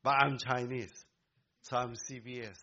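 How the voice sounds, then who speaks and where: A man born in Korea, preaching a sermon to a congregation in an emphatic way, with halting restarts. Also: medium pitch, flat delivery, bright, forceful tone, muffled recording. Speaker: pastor.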